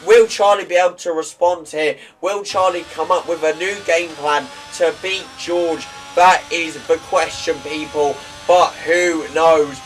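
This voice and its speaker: A man with a slightly hoarse, somewhat higher-pitched voice calls a sim racing league session. He talks in a chatty, rambling way, full of fillers and quick self-corrections. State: slightly croaky voice. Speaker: league commentator.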